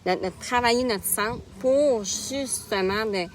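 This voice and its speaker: A woman's voice: on the high side, slow pace, slight background noise. A woman in her thirties with a Québécois French accent, a nutrition coach talking casually in a live stream and greeting viewers by name as she goes. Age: 30s.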